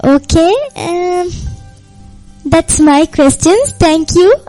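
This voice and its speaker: A woman with as her interviews in slow, halting English. Her voice is very high. Faint music plays behind her. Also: mellow. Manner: hesitant, careful English. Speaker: radio interviewer. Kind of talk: interview questions.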